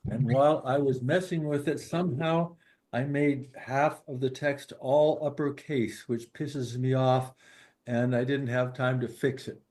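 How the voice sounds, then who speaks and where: A man introducing his reading informally at an online open mic, with a halting, playful manner. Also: mid-range voice, fairly even intonation, darkish tone. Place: online video gathering.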